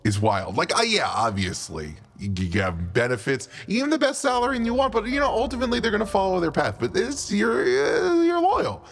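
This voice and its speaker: A man's voice: sits high, wildly swooping pitch, a little fast, creaky, hardly any pauses, voice pushed up, full character voice. A man playing a chatty undead lich bureaucrat in improvised tabletop roleplay, faux-friendly and defensive, stammering and repeating himself. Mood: indignant, incredulous.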